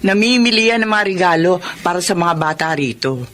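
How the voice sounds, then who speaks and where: An actor playing a chatty, gossipy neighbour in a Tagalog radio drama. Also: male voice, high-pitched, a little fast, slight background noise. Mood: gossipy, informative. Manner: gossipy, animated. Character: chatty, gossipy neighbour telling about others.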